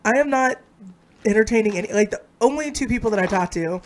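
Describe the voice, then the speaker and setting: Woman, low husky sick voice, stuffy and hoarse, outspoken ranting co-host, casual podcast chat. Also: animated, fast, a little hiss or hum.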